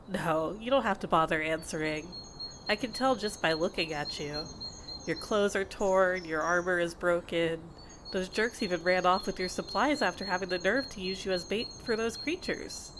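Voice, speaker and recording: A woman playing a possessive, condescending fantasy healer in an ASMR audio roleplay, with a measured, intimate, teasing and reproachful delivery. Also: lowish voice, background noise, lightly acted. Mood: reproachful, haughty. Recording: insect and bird ambience behind voice. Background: outdoor nature ambience with crickets and birds.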